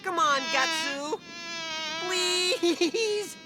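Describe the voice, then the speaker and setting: A woman playing a tiny, cheeky, childish male elf companion in a video game dub, chatty and excitable. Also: very high-pitched, extremely slow, heavy background noise, heavily acted. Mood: pleading.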